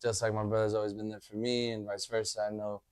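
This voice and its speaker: A man in his thirties, a podcast guest, talking in a relaxed way and often adding "you know". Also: lowish voice, fast talker, clean tone, no echo, studio quality. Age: 30s. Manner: laid-back, leans on "you know" a lot. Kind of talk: casual chat.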